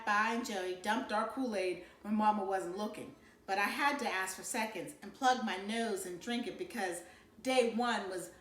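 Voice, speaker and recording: A woman reading a children's novel aloud in an expressive storytelling style. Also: mid-range voice, echoey room, slight background noise. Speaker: storybook reader.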